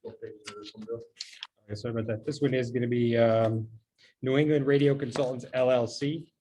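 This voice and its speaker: A man's voice: mid-range voice, fairly even intonation, normal speed, mellow, slight background noise, poor audio. A man speaking as a town official, reading out and discussing documents in a meeting.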